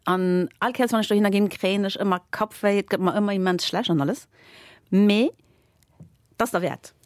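A woman's voice: mid-range voice, moderate pace, no echo, clean studio sound. Chatty woman telling anecdotes. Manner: animated, laughing while telling anecdotes, many fillers. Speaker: interview guest. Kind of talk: casual storytelling.

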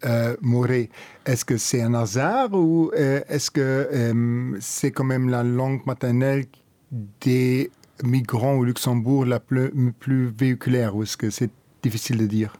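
A man interviewing guests with polite, probing questions. His voice is mellow and soft-edged. He sounds dry and close, with no room echo. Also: medium pitch.

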